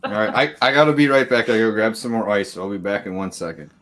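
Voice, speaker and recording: A woman making quiet, dry remarks in a casual chat. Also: extremely low pitch, quick pace, crowd noise.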